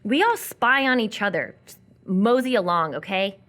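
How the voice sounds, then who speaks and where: A woman's voice: medium pitch, animated, moderate pace, fairly bright tone, lightly acted. An actress playing a lonely, guarded young woman with a dry, blunt, wounded way of talking in live radio theatre.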